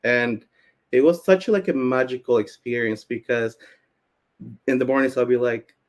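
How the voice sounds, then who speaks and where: A man's voice: mid-range voice, normal speed. Man from Peru telling personal stories. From Cusco, Peru. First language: Spanish. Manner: conversational, frequent "like" and "basically". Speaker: speaker, community founder presenting. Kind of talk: informal personal storytelling presentation.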